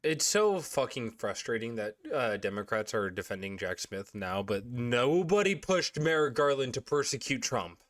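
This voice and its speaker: A man's voice: mid-range voice, expressive, moderate pace, few pauses, a bit bright, no room sound. A young man in his late teens, congested, reacting to news on a livestream with frustrated, profane asides. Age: young adult. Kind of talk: casual livestream commentary.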